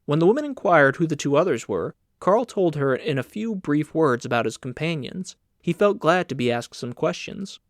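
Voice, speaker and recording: A man's voice: on the high side, big pitch swings, moderate pace, few pauses, dry recording, clean studio sound. A man reading scripted literary narration as an audiobook narrator.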